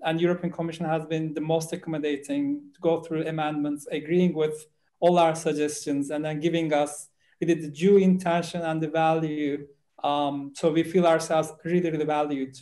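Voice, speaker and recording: Earnest man giving halting thanks. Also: a little high, a little mellow. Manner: earnest, halting, with many fillers. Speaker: project lead investigator. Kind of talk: formal thanks at an online event.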